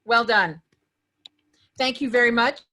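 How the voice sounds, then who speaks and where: A woman's voice: a little high, measured pace, music in the background. A woman hosting a table read, talking casually and effusively to a cast. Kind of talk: casual congratulatory talk to cast.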